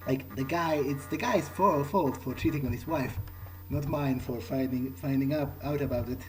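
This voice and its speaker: A man with a middle-pitched voice roleplays through a video game. He thinks aloud in a hesitant way, with game music clearly heard behind him. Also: moderate pace, poor audio. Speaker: gamer roleplaying in character. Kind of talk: in-character video game playthrough commentary.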